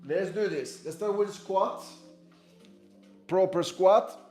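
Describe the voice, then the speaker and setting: A Cuban-born man with a Spanish accent, a native Spanish speaker, leading a workout in a halting, self-correcting, encouraging way. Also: high-pitched, a little mellow. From Cuba. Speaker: fitness coach. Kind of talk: workout instruction. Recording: echoey room with music behind.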